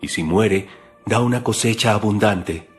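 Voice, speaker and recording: A man playing a calm, authoritative teacher, speaking solemnly and with measure in a dramatized audio Bible. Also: fairly low pitch, unhurried, soft background music, natural voice.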